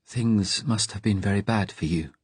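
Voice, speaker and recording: A man narrating an audiobook, voicing a calm, direct resistance woman with a serious, measured manner. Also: on the low side, slightly rough, no room sound. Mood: calm, gentle. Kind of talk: audiobook character dialogue.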